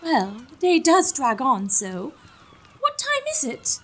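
A woman playing a lovestruck, gently chiding young maiden in a gothic comedy audio drama, with theatrical old-fashioned diction. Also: high voice, wildly swooping pitch, takes it slowly, heavily acted.

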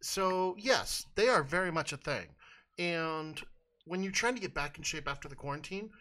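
A man co-hosting a casual comedy podcast, giving absurd explanations in a deadpan, mock-serious way. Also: fairly high pitch, moderate pace. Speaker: podcast co-host.

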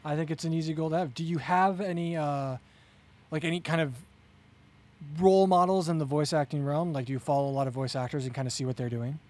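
A man's voice: fairly high pitch, steady tone, fast talker, a little mellow. A man hosting a casual interview, asking questions in an upbeat, encouraging and conversational manner. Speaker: podcast host, interviewer.

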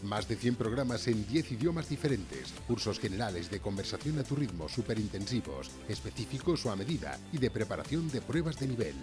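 A man reading a scripted radio advertisement in an even, persuasive tone. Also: fairly low pitch, a little fast, hardly any pauses. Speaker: commercial announcer. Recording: ad read over music.

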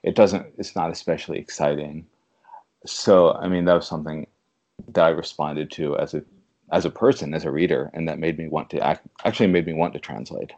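A man speaking as a literary translator in a conversational interview, thoughtful and halting in a measured way. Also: a bass voice, steady tone, darkish tone, dull audio. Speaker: podcast guest, literary translator.

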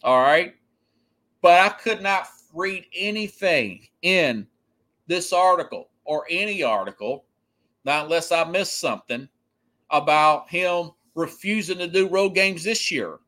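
Bright, forceful man talking sports. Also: a little high, expressive. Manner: halting, repetitive, emphatic. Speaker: sports podcast host. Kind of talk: unscripted opinionated sports commentary.

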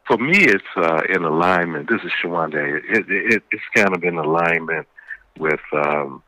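Male phone guest, very low pitch with a bright edge, conversational and measured. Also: expressive, no room sound, poor audio. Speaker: stage actor, interview guest. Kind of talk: conversational interview talk.

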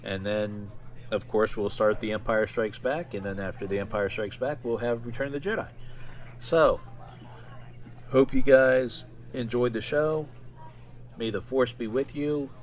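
A man talking casually and informally as a solo podcast host, in a halting, rambling way. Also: medium pitch.

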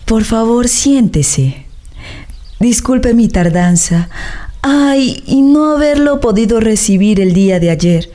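A woman's voice: on the high side, animated, slow pace, warm tone. An actress playing a proud, lovesick young woman in a radio drama, with sighing, theatrical delivery. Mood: polite, restrained. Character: lovesick, torn young peasant heiress, melancholy, proud.

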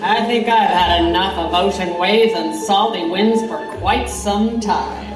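A woman's voice: medium pitch, unhurried, close to an everyday voice. A woman playing an intrepid reporter, giving dramatic first-person narration of her adventure in an audio drama. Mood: wry, reflective. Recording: roomy, slightly distant, music underneath.

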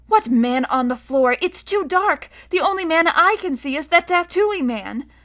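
A woman's voice: high-pitched, lively intonation, moderate pace, a bit bright, voice pushed up. A woman voicing a small, outspoken, stubborn girl in a storybook, childlike and emphatic. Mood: scared, irritated.

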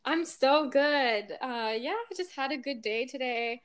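A woman's voice: high voice, a little slow, smooth. A woman from Quebec City whose first language is French, chatting as a podcast guest in an upbeat way and laughing between sentences. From Quebec City, Canada. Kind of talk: casual chat.

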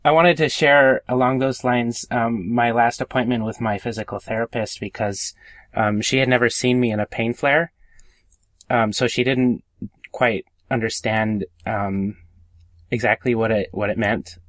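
A man co-hosting a podcast in casual conversation, speaking somewhat haltingly and repeating himself. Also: medium pitch, fairly even intonation, fairly bright tone, no echo. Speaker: podcast co-host.

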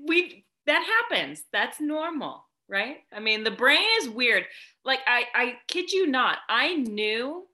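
A woman's voice: a little high, bright, forceful tone. A woman co-hosting a casual chat, bubbly and rambling, often trailing off mid-thought. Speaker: podcast co-host.

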